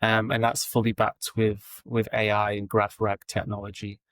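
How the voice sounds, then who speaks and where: A man, a recruitment founder answering interview questions over a video call in a relaxed, steady way with frequent ums. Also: lowish voice, moderate pace, no echo. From England.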